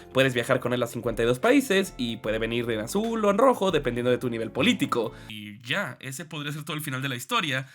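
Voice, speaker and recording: Male narrator, 20s, comic video essays, mock-serious and sarcastic, highly expressive delivery. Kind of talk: humorous scripted narration. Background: background music.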